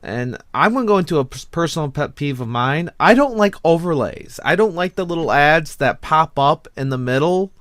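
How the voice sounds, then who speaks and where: A man's voice: on the high side, lively intonation, moderate pace, hardly any pauses, fairly bright tone, no room sound. Casual male host, dry close sound. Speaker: podcast host. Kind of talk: casual panel discussion.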